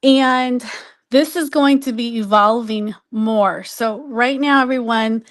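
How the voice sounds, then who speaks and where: A woman's voice: fairly high pitch, slow, dry recording, studio quality. A woman guest explaining things in casual, informal chat, feeling her way through her sentences a little hesitantly. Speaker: guest on a live online show.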